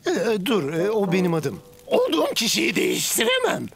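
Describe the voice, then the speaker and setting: A woman's voice: mid-range voice, huge pitch swings, moderate pace, creaky. A woman voicing a greedy, clumsy, boastful little rodent in a children's cartoon, dramatic and whiny. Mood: indignant, whiny. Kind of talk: children's cartoon dub acting. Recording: music bed under the voice.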